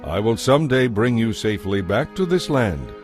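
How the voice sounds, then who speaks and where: A man narrating scripture as a dramatized story, in an expressive, measured way. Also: lowish voice, faint music behind.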